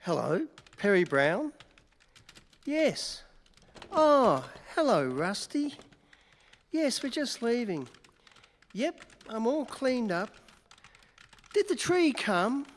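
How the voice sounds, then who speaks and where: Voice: medium pitch, sing-song, takes it slowly, pauses often. A stage performer playing a cheerful young male newspaper reporter in a live radio play, teasing and fond on the phone. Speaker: amateur radio play actor. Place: concert hall stage. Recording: long reverb of a concert hall.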